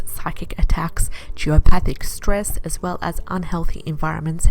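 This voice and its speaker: A woman from Iraq narrating her vlog in a chatty, enthusiastic way, pausing often with "uh". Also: lowish voice, darkish tone. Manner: chatty, enthusiastic, with frequent "uh" pauses. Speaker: vlogger.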